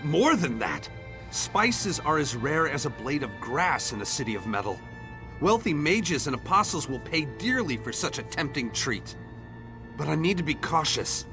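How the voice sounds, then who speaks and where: A man's voice: on the high side, sing-song, moderate pace. A man voicing a hopeful, grateful young townsman in video game dialogue, speaking earnestly and with a declamatory turn. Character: hopeful, grateful young townsman defying fate and rallying his people. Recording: background music under the voice.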